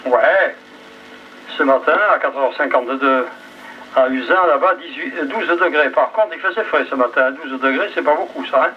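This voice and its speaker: A man's voice: mid-range voice, normal speed, far from the mic. A man chatting casually as he runs an amateur radio round over a two-way link. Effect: two-way radio transmission. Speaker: amateur radio net operator. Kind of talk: casual ham radio chat. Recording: muffled VHF radio with faint noise.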